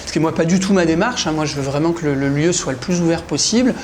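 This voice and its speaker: Higher-voiced man, searching for words. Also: quick pace, a little hiss or hum. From Paris region, France. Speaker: interviewee, café-gallery owner. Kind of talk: casual documentary interview.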